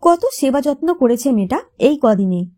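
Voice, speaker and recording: Female audiobook storyteller, high-pitched and mellow, emotive scripted narration with lively intonation. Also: normal speed.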